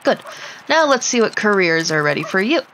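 A woman's voice: medium pitch, very animated, fairly quick, a bit bright, a little hiss or hum, rough recording. A woman giving casual let's play commentary, chatting to the game's characters and muttering to herself with casual swearing. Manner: talks to the game characters, muttering to self. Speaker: gaming video host.

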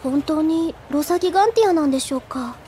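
A woman's voice: high-pitched, fast talker, warm tone, slight background noise, natural voice. A woman playing an earnest, deferential younger schoolgirl in an audio drama, polite, sweet and a little timid. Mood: anxious, questioning. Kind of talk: Japanese audio drama acting.

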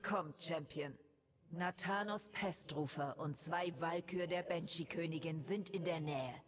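A woman playing a vengeful, merciless elven leader in a video game, speaking gravely and with command. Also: sits low, unhurried.

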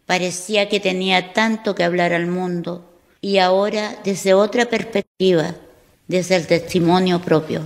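A woman's voice: fairly low pitch, steady tone, measured pace. A woman narrating a radio drama as a female shorebird who witnessed the story. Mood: reflective.

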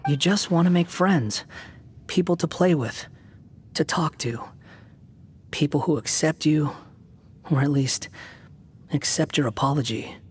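An actor playing a blunt, aloof young man in a video game, talking in a dry, measured way with a hidden soft side. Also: on the high side, a little hiss or hum, lightly acted. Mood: blunt, sympathetic. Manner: measured, dry, quietly sympathetic. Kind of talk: video game dialogue acting.

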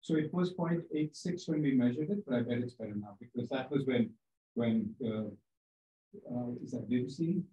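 A man in an observatory control room talking over the night's observing in a relaxed, hesitant way. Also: medium pitch, moderate pace, reverberant, highs cut off. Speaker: observing astronomer. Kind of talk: casual technical chat.

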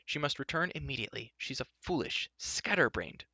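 An earnest, hot-headed youth from a fantasy storybook, acted by a man. He scolds bluntly in a tense, forceful voice. The recording is slightly dull. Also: mid-range voice, normal speed, no echo. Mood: angry. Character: hot-tempered, earnest youth, a lowly pig keeper on a quest.

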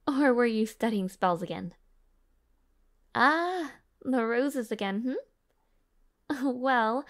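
A woman playing a devoted young maid of noble birth in an audio roleplay, speaking politely and formally, with shy hesitations. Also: on the high side. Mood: cheerful, attentive. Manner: polite, soft-spoken, flustered hesitations, formal diction. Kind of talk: audio roleplay addressed to the listener.

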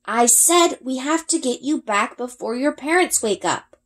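Woman voicing a worried boy, high and urgent. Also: animated, unhurried, fairly bright tone. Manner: raised, urgent calling. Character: worried young boy shouting over wind.

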